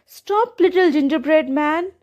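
A woman's voice: medium pitch, big pitch swings, normal speed, mellow, natural voice. A woman voicing a sweet old woman baker who sighs and pleads, in a storybook reading. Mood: calling out. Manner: sighing, pleading.

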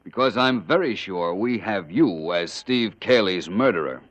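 Deep-voiced, composed gentleman detective. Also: lively intonation, measured pace. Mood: calm, certain. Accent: American. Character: composed, shrewd gentleman detective recovering in hospital. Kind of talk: 1950s radio drama acting. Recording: dull old radio recording.

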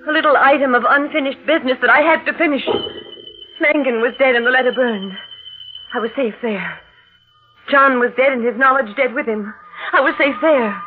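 Woman acting high-pitched desperate murderess, old radio. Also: lightly acted. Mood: cold, brooding. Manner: dramatic, halting, repeating phrases. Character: desperate murderess recounting her crimes, increasingly panicked. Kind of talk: 1940s radio drama acting, first-person narration. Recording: old narrowband radio recording with music behind.

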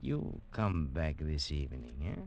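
An actor playing a sharp, courtly old male translator in a 1950s radio drama. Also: extremely low pitch, very animated, a little slow, gravelly, highs cut off, exaggerated voice. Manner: polite, courtly and eager. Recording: old radio broadcast, faint hiss.

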